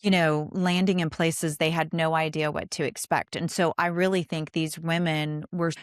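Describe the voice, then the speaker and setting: Woman, interview host, low voice, conversational, earnest, emphatic, dry recording. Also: flat delivery, normal speed, dry recording. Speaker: podcast host.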